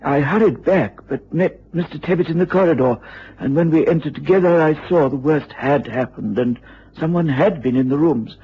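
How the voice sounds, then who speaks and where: An actor playing a nervous, apologetic male manservant in a radio drama, deferential and halting, stammering over his words. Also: fairly high pitch, breathy edge. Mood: shaken, apologetic. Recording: muffled radio drama recording.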